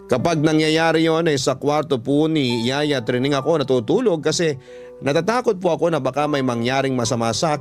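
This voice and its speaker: A man with a Filipino accent narrating a first-person story as a radio drama host. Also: mid-range voice. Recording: music bed behind the voice.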